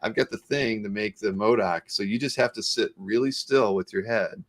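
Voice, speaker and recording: A man's voice: lowish voice, moderate pace. A man hosting a casual live interview show, chatting informally and with enthusiasm. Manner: informal, enthusiastic, reads out viewer comments. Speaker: host of a live comic art show.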